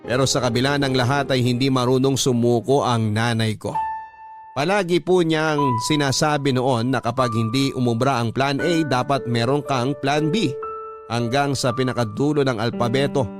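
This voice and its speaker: A man's voice: mid-range voice, steady tone, moderate pace, lightly acted. A man narrating a scripted first-person letter for a radio drama, politely and earnestly reminiscing. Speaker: storyteller reading a listener's letter. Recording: music bed under the voice. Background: background music.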